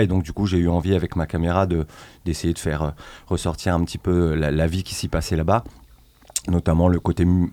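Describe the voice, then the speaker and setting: A man's voice: low-pitched, dark tone, no echo. A man from northern France, a volunteer at a migrant aid group, talking hesitantly in a casual radio interview with many fillers and restarts. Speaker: association founder.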